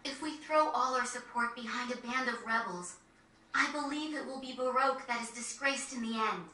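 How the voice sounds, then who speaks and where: A principled young noblewoman in a game cutscene. Her high, bright voice is formal and deliberate, polite yet firm. The sound is roomy and slightly noisy. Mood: solemn, resolute. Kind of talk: dramatic video game cutscene acting. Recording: roomy, distant game capture with faint noise.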